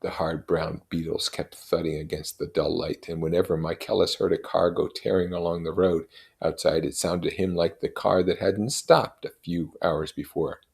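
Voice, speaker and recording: Low-voiced man reading a novel. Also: fairly even intonation, normal speed, gravelly, a little mellow. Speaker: reader. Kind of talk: expressive literary narration read aloud.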